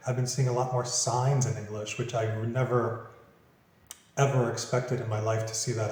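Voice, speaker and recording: A man's voice: medium pitch, warm tone. A man in his fifties, from Philadelphia with Greek as his first language, giving easygoing, practical answers in a casual interview. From Philadelphia, USA. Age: 50s. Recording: very echoey room.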